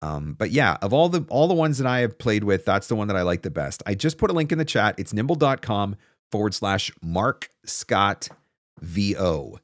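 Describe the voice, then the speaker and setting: Man in his forties, lower-pitched voice, casual livestream host, conversational explainer, close dry mic. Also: big pitch swings, moderate pace, no echo. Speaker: livestream host, voice-over coach. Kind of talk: casual livestream advice talk. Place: small home studio room, indoors. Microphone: close desktop broadcast microphone.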